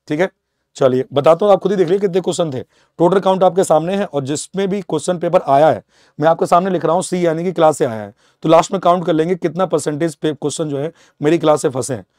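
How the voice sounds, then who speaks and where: A man in his thirties with a slightly high voice, lecturing as an exam coach. He talks to students conversationally, repeats key points and often checks in with them. The sound is dry and close, from a clip-on mic in a teaching studio. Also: a little mellow, dry recording. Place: indoor teaching studio beside a digital board.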